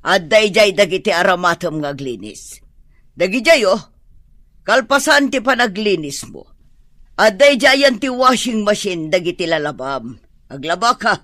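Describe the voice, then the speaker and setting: Casting: bossy rich madam, radio drama, swooping commanding delivery, close dry sound. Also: female voice, medium pitch, lively intonation, a bit bright, dry recording. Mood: stern, bossy. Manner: brisk, commanding, fussy. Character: imperious, demanding wealthy mother and lady employer.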